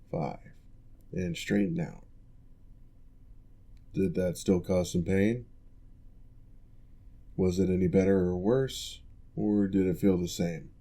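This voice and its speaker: A man voicing a former orc general who now works as a patient physical therapist, giving calm, measured instructions in an ASMR roleplay. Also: low voice, creaky, exaggerated voice. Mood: instructive.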